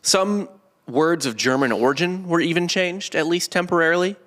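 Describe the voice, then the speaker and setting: Bright-voiced man explaining history casually. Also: fairly high pitch, moderate pace. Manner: explanatory, joking, informal with swearing. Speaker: podcast host. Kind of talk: casual comedic history chat.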